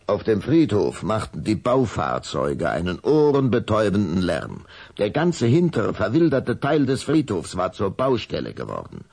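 A man's voice: lowish voice, vocal fry, a little mellow, slightly rough. A man narrating a children's audio drama in a dramatic storybook style.

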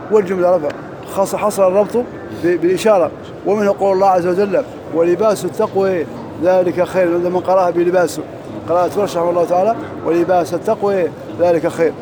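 A man teaching Arabic grammar in a mosque, drilling through examples quickly and reciting verses slowly. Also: high-pitched, fairly even intonation, background noise. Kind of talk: lesson parsing grammar and verse.

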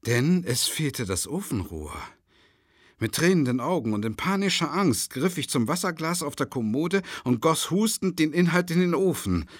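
Weary traveling lecturer, dryly recorded. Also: male voice, a little high, very animated, moderate pace, no room sound, studio quality. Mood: wry narration. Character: weary, polite male traveling lecturer narrating his own mishaps. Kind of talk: radio drama narration and dialogue.

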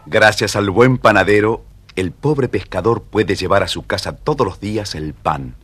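A man narrating a scripted children's story in a measured, dramatic manner. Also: on the low side, fairly bright tone, faint music behind.

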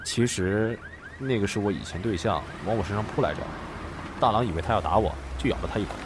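A man's voice: on the low side, fast talker, noisy background, lightly acted. A man playing a meek, flustered boyfriend in an audio drama, hesitant, stammering and apologetic. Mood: nervous. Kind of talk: Chinese audio drama acting.

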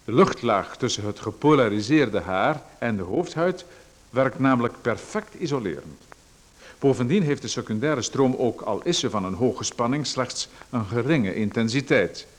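An actor playing a pushy male salesman, lecturing smoothly and persuasively about a dubious hair machine. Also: mid-range voice, expressive, normal speed, lightly acted. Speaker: radio drama actor. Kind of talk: radio play acting.

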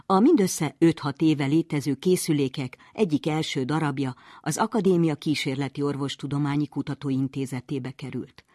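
A woman's voice: low-pitched, few pauses, warm tone, no room sound, clean studio sound. A woman presenting a science radio programme, reading scripted narration.

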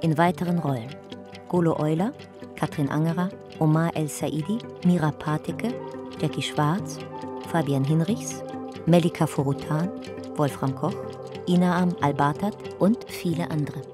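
Woman narrator: deep for a female voice, factual clipped reading, clear music bed. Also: normal speed. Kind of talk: scripted narration and credits. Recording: music behind.

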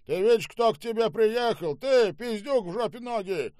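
A man's voice: sits high, animated, moderate pace, a little mellow, no echo, studio quality, voice pushed up. A man voicing a foul-mouthed, irascible bully in an audiobook, barking and sneering his curses. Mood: sneering rage. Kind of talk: audiobook character voice.

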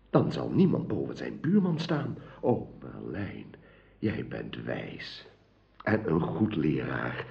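An actor playing an earnest, idealistic young king in a radio drama, impassioned and questioning, with a gravelly voice. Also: deep voice, full character voice. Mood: excited, then grateful. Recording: dull, lo-fi radio play recording.

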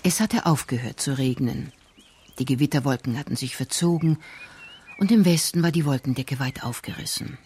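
A man's voice: medium pitch, moderate pace, darkish tone, a little hiss or hum. A man reading scripted narration for a radio crime drama. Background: music.

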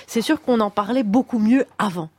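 A woman's voice: on the high side, moderate pace. Woman hosting a radio talk show. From Belgium. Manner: hesitant, fills with euh, laughs at herself.

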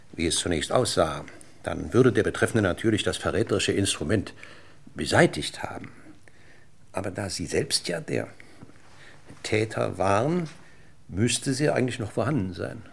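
An actor playing a courteous, measured, persistently probing police inspector in a 1960s German radio play. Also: male voice, sits low, animated, vocal fry, breathy edge, exaggerated voice. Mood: insinuating. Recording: noisy 1960s radio recording, clicks.

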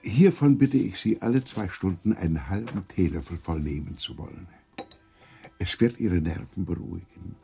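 Gravelly, deep old doctor. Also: male voice, lively intonation, warm tone, faint music behind. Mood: professional, measured. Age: older. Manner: measured, urbane, formal. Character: old worldly-wise privy councillor doctor, courteous and shrewd. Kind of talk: German radio play acting.

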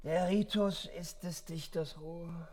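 An actor in a radio play playing a pompous, erudite young intellectual who philosophises drunkenly in a pedantic, declamatory, halting way. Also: male voice, fairly high pitch, steady tone, over loud music. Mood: musing, pedantic.

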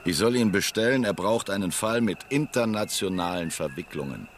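A man's voice: low voice, expressive, moderate pace, slight background noise. An actor playing a blunt, insistent police messenger in a naturalistic radio play, talking coarsely and pressing his point.

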